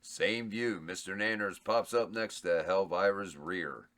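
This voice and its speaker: A man's voice: deep voice, unhurried, a bit bright. A man reading stage directions aloud at a table read, laid-back and chuckling. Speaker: script reader and cast member.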